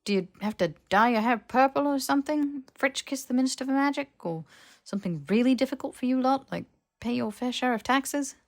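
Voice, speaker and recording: A woman reads as a stubborn young male wizard in an audiobook. The pitch is lifted, and he sounds earnest and incredulous, pressing his questions insistently. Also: brisk.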